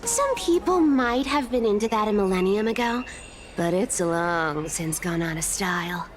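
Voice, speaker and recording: A woman's voice: mid-range voice, lively intonation, normal speed, faint music behind, close to an everyday voice. A woman playing a teasing, flippant cat-like thief demigod in a video game, with a cocky, drawling delivery. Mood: teasing, playful. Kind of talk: video game character acting.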